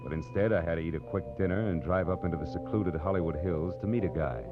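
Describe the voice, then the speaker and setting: An actor playing a wry, weary hardboiled private detective in a deadpan 1940s radio noir style. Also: male voice, low voice, fast talker, natural voice. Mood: brooding, wry. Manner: deadpan, world-weary, sardonic. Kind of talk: 1940s radio noir acting and first-person narration. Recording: dull old radio recording with loud music.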